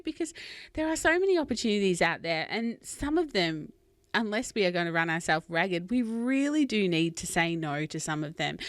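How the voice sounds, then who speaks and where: Australian woman, podcast host, middle pitch, dry close sound, eager and chatty. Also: dry recording. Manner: enthusiastic, chatty, with fillers. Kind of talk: casual interview chat. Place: rural home.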